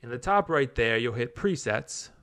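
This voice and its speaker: Male tutorial presenter, informal and conversational, crisp tone, dry recording. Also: medium pitch, lively intonation, moderate pace, a bit bright, no echo. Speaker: tutorial video maker. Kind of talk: casual hands-on app tutorial.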